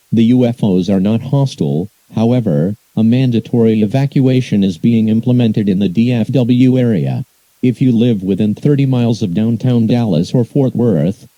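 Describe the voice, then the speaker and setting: A man reading a scripted emergency broadcast announcement in a flat, formal, measured, synthetic-sounding manner. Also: fairly low pitch, no echo, low-quality recording.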